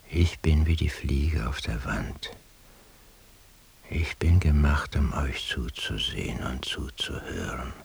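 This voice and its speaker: An actor playing an obsessive, bitterly servile eavesdropper in a radio play monologue, husky and intense. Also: male voice, rumbling low, full character voice. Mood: menacing, brooding. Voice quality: husky, gravelly, breathy half-whisper. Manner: intense, deliberate, theatrical.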